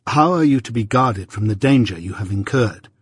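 A man reading an audiobook voices an anxious young gentleman who speaks in proper, strained tones. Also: fairly low pitch, animated, normal speed, husky, dry recording, studio quality. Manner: proper educated speech, strained. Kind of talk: audiobook character voice.

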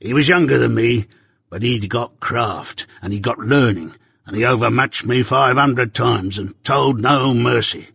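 A man voicing a rough older male ex-convict recounting his past in rustic dialect, confiding and plain. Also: medium pitch, measured pace, a bit bright, no echo, studio quality, full character voice.

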